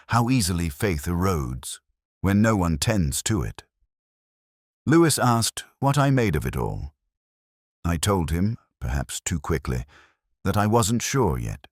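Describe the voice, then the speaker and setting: A man narrating a scripted first-person detective story in a measured, reflective, literary manner. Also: sits low, no room sound, studio quality.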